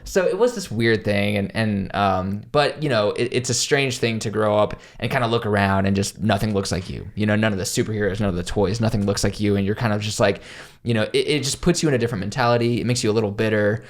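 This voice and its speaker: A man's voice: fairly low pitch, quick pace. A young man from South Carolina, a podcast guest, telling candid, self-deprecating stories in casual conversation. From South Carolina, USA. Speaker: podcast guest, pro skateboarder.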